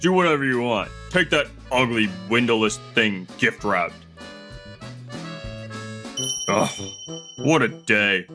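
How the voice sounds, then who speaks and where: A man's voice: medium pitch, a little slow, close to an everyday voice. A man playing an arrogant, petulant rich heir in a manga dub, brash and dismissive, with music under the voice. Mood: sulky, smug. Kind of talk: dramatic manga dub voice acting.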